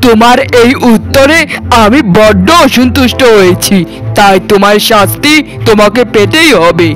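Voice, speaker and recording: A man's voice: sits high, animated, moderate pace, fairly bright tone, soft background music, rough recording. A man voicing a proud, doting but harsh landlord father in a theatrical, declaiming cartoon style. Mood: stern, angry. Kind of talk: dramatic cartoon voice acting.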